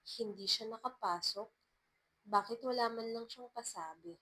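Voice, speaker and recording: A woman's voice: a little high, moderate pace, bright, forceful tone, husky, low-quality recording. A woman reading a first-person love story as a scripted narration.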